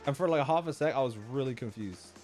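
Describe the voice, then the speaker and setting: A man's voice: mid-range voice, expressive, fast talker, darkish tone, soft background music. A man streaming, giving casual, slangy, joking commentary as he reacts to a game.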